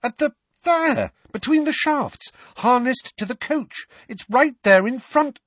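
A man's voice: sits high, huge pitch swings, a little slow, slightly rough, dry recording, voice pushed up, exaggerated voice. A man reading a bewildered teenage boy in an audiobook, urgent and impatient in manner.

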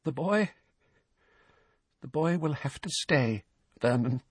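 A man's voice: mid-range voice, animated, fairly bright tone, slightly rough, dry recording, voice pushed up, exaggerated voice. A man voicing a snappish middle-aged aunt with a shaky voice, in an audiobook story. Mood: weak, shaken. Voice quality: shaky, weak voice. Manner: brisk, snappish, faltering under strain.

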